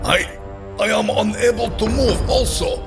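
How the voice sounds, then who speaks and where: A man playing an earnest, defiant young hero with stiff, formal phrasing and a creaky voice in an audio drama. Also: high-pitched, unhurried, music in the background. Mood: strained.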